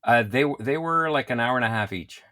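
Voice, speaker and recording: A man from Quebec, a comedian, chatting casually as an interview guest, animated and quick to laugh. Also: mid-range voice. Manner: animated, laughs often, self-described loud voice.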